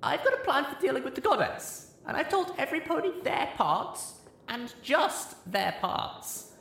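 A weak, feverish young mare calls out high and breathless, as a male narrator puts her on. Her voice bounces widely in pitch, and it rings in a roomy space. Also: sing-song, a little slow, creaky, a bit bright, a little hiss or hum, exaggerated voice. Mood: remembering. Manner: pleading, breathless. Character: small young female pony heroine, weak and feverish.

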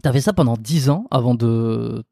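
Mellow-voiced host with halting speech. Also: male voice, mid-range voice, vocal fry, no room sound, studio quality. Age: 30s. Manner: halting, many restarts and fillers. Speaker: interview host. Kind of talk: casual interview chat.

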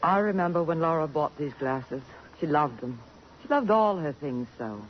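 A woman's voice: deep voice, animated, moderate pace. An actress playing a genteel, composed society woman in a 1950s radio drama. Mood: poised. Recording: muffled, noisy 1950s radio broadcast.

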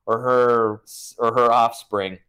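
A man's voice: mid-range voice, steady tone, slow, bright, forceful tone, clean tone. Rambling, profane American man. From United States. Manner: profane, rambling, jokey with acted quotes. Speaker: guest. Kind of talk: casual podcast chat.

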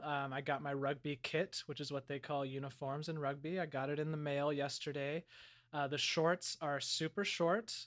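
A man with a slightly high voice chats as co-host of an improvised comedy podcast. He plays it earnest and deadpan, speaking casually in character.

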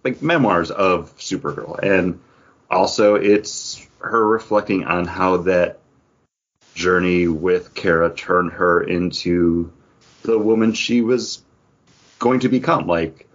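Low, husky-voiced man chatting. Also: slow, lo-fi sound. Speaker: podcast co-host reviewing comics. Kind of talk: casual talk, book review.

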